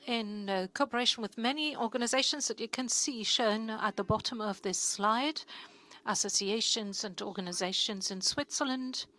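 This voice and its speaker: A woman working as a simultaneous interpreter, rendering a speaker's words in short, steady chunks. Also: a little high, moderate pace.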